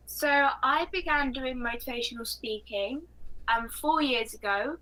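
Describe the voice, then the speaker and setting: Bright teenage girl with London British accent. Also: fairly high pitch, unhurried, low-quality recording. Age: 14 years old. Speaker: interview guest, motivational speaker. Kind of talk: casual interview chat.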